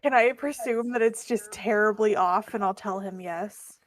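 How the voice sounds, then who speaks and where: A woman's voice: mid-range voice, normal speed. A woman playing a tabletop roleplaying game, chatting casually as she speaks for her character.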